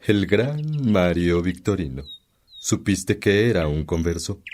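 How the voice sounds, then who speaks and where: A man acting a fatherly, learned elder priest giving expressive counsel in a religious radio drama. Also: deep voice, slow pace, slight background noise, exaggerated voice. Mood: fatherly, admiring.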